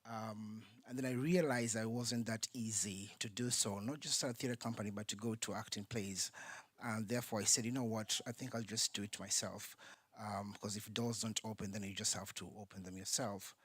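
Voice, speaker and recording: A man's voice: fairly low pitch, normal speed, slightly rough, no echo. Man telling his story on a panel. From Kenya. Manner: lively storytelling with frequent ums. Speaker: theatre company founder, panelist. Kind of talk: panel talk, personal story. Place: conference centre.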